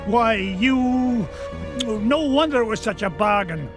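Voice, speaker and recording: Scrooge McDuck, a rich old Scottish duck. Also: male voice, high voice, lively intonation. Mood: defiant. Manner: brisk, brogue-tinged, chuckling. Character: elderly rich Scottish duck uncle, gruff but fond. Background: game music.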